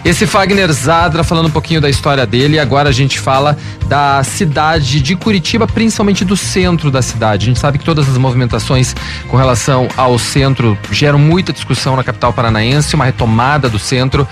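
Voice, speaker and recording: A man's voice: medium pitch, a little fast, music in the background. A man hosting a show, presenting segments and asking interview questions. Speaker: radio show host, interviewer.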